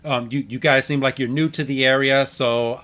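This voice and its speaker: A man with a bright, forward voice hosts a casual podcast recap. His delivery is halting, with repeated word starts and frequent chuckles, at a middling pitch. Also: steady tone. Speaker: podcast host. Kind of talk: casual episode recap chat.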